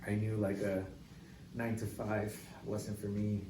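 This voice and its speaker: A young adult man from Mexico City, a real estate agent guest telling his story in a relaxed, casual way, with lots of "like"s. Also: fairly low pitch, unhurried. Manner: relaxed, filler-heavy storytelling with many "like"s. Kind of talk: casual interview chat. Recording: echoey, distant mic with clear background noise.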